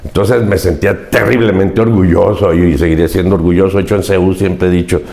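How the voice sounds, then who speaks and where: Older Mexican man, low, roomy sound. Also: fairly even intonation, brisk, gravelly, darkish tone. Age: 70s. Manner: earnest, emphatic, repeats key phrases. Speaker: podcast guest. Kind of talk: reflective interview storytelling.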